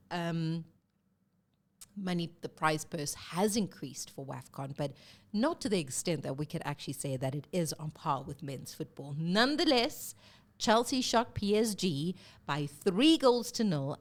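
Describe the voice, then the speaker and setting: A woman hosts a live sports talk show in a loose, chatty way, with plenty of fillers and quick self-corrections. Her voice sits a little low and sounds stuffy from a cold. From South Africa. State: congested with a cold.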